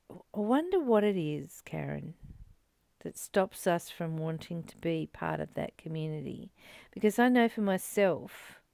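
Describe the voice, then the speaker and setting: A woman's voice: fairly low pitch, gravelly, darkish tone, no room sound, studio quality. A woman in a reflective spiritual discussion, speaking in a personal, conversational way to a partner. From Australia.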